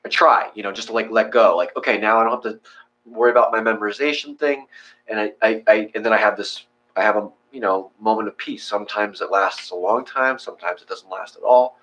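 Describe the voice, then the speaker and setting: A man with a fairly low voice talks off the cuff as a session host. He rambles informally, circling back and rewording as he explains things in a casual chat. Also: a little fast, fairly bright tone, muffled recording. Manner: rambling, informal, self-correcting. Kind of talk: casual chat after guided meditation.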